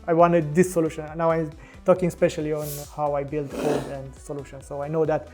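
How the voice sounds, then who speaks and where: A man's voice: fairly high pitch, little pitch movement, brisk, a little mellow, soft background music. A man with a Romanian accent, a podcast guest talking casually in English with frequent restarts and fillers. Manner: hesitant, many restarts and fillers. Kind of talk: casual interview talk.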